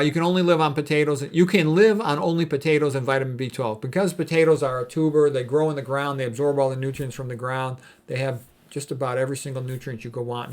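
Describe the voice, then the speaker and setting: A man giving an informal explanatory health talk as an educator, speaking in a blunt, opinionated and colloquial way. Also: medium pitch. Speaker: health educator, medical doctor.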